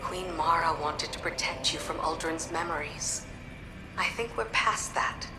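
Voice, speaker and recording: A woman playing a frank, caring commander in a video game, talking in a direct, gently persuasive way. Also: low-pitched, measured pace. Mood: frank, gentle. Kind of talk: dramatic game dialogue. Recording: roomy echo, music behind.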